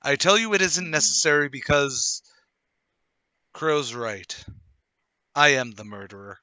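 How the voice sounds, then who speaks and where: Man acting a haughty, bright-voiced gentleman murderer. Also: medium pitch, measured pace, no echo. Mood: coolly boastful. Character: smug gentleman murderer, flustered then coolly confessing. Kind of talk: radio play table read.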